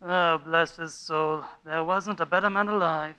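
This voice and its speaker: A man playing a flustered, sentimental old man in a radio play, halting and exclamatory. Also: a little high, fairly even intonation, sharp tone, smooth. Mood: earnest, grateful.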